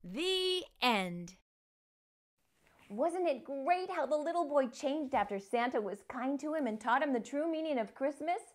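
A middle-aged woman hosting a children's storytime, speaking in an animated, expressive way to young readers. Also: sits high, measured pace. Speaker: host of children's read-aloud show. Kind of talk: children's storytime read-aloud and presenting. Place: small furnished room indoors. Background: music.

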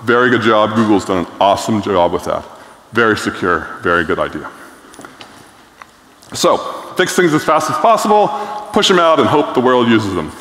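A man's voice: on the low side, very animated, moderate pace, a bit bright. A middle-aged man giving a conference keynote on stage, blunt and enthusiastic, with frequent filler words. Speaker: keynote speaker. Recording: echoey hall reverb.

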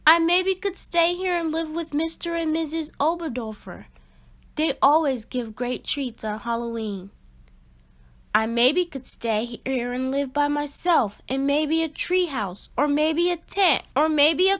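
A woman reading a children's picture book aloud expressively, with emphatic, deliberate phrasing. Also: sits high, fairly bright tone. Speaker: read-aloud storyteller.

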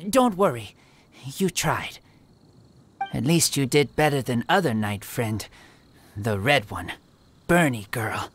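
A woman voicing a feral teenage boy raised by wolves in an anime video game, wary and earnest, in halting, broken phrases. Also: very deep voice, animated, slight background noise, full character voice. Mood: resigned.

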